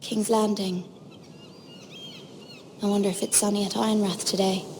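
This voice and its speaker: Gentle, guarded young noblewoman, played by an actress. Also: mid-range voice, flat delivery, moderate pace, slight background noise, natural voice. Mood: wistful. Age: young adult. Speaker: voice actor. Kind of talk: dramatic video game acting. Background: music.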